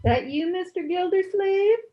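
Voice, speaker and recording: An actress playing a sassy, good-humored housekeeper with folksy wisecracks in an old-time radio comedy, slightly muffled. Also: female voice, extremely high pitch, measured pace. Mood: teasing. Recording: slightly muffled online-call sound.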